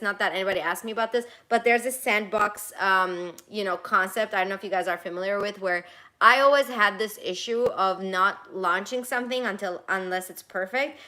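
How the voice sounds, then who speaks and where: A bright, clear-voiced young woman whose first language is Armenian, hosting a relaxed live Q&A from home. She chats casually, reads viewer comments aloud, and drifts from one question to the next. She often restarts her sentences and fills pauses with "um". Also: mid-range voice. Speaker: travel vlogger answering live chat. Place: home in Toronto.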